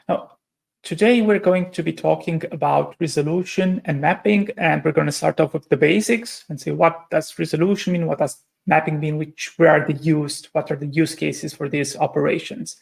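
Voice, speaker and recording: A man's voice: on the high side, creaky. A man from Romania, giving a technical conference presentation and explaining step by step with frequent hesitations and self-corrections. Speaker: conference speaker.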